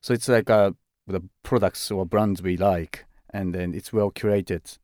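A man speaking as a fashion director in a conversational interview, halting and full of "like" and "uh" fillers, often restarting words. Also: deep voice, fairly even intonation, darkish tone, dry recording, clean studio sound.

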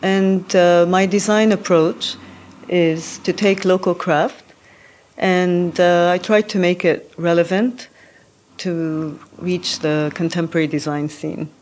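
A woman who grew up in Japan, a furniture designer, speaking calmly and reflectively in an interview with pauses and fillers. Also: lowish voice, a little hiss or hum. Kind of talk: interview answers.

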